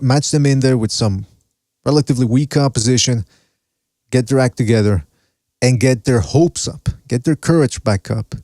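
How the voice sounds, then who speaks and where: A man's voice: medium pitch, darkish tone, no echo. Male podcast host from Mexico with a mixed accent, loose and rambling, dry close sound. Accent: mixed foreign accent. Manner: casual, rambling, cursing, laughs often. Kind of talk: solo live-stream boxing chat, reading chat.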